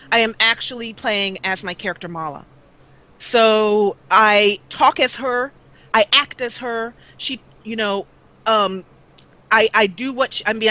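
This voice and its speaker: A young woman YouTuber chatting as an interview guest over a webcam call, talkative and quick to laugh at herself. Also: medium pitch, pushed and bright, rough recording. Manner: chatty, laughs often, self-mocking. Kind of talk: casual interview chat.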